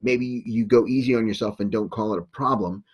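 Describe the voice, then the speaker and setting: A man's voice: on the low side, brisk, breathy edge, dull audio. A man from Texas with a Texan accent, co-hosting a casual chat in a joking, laughing way. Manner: joking, laughs a lot, animated.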